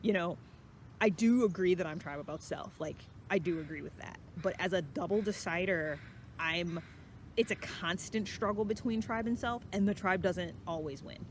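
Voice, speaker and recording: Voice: mid-range voice, slight background noise. A host talking casually and unscripted, explaining things in a rambling way that circles back and qualifies points. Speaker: host of a personality typology series.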